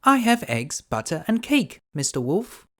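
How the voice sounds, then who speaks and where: A man's voice: on the high side, very animated, unhurried, a bit bright, pitched above the performer's own voice, full character voice. A man voicing a sweet, trusting little girl from a fairy tale in a polite sing-song. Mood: innocent, cheerful.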